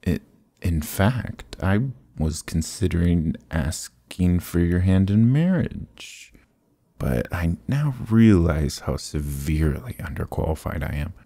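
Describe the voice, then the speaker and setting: Male actor as sly fantasy rogue for ASMR roleplay: extremely low, gravelly voice, glib courtly flattery, evasive pauses. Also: dry recording, heavily acted. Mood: flattering, wheedling. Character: sly, charming adventurer thief, smooth-talking and flattering. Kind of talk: fantasy ASMR roleplay acting, close and soft.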